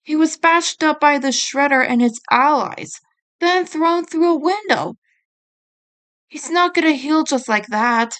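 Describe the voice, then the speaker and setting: A woman's voice: high-pitched, unhurried, a bit bright, pitched above the performer's own voice. A woman voicing a stubborn, protective teenage male turtle ninja, the blunt older brother, in a fan story reading. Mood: firm.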